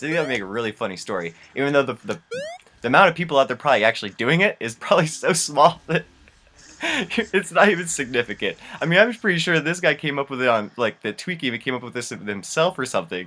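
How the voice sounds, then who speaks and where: A man's voice: medium pitch, bright, forceful tone. A young man telling casual, rambling stories as a gaming vlogger, with slangy, incredulous asides. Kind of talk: casual rambling storytelling over gameplay.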